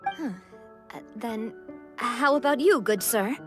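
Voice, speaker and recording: A woman's voice: fairly high pitch, slow pace, over music. An actress playing a showy, playful young woman who loves the spotlight, in a video game.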